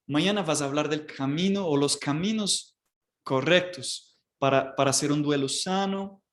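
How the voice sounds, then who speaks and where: A man's voice: on the high side, moderate pace. A man hosting a live online program, speaking with frequent fillers and restarts. He is not from Colombia. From outside Colombia. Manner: hesitant, many fillers and restarts. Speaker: host.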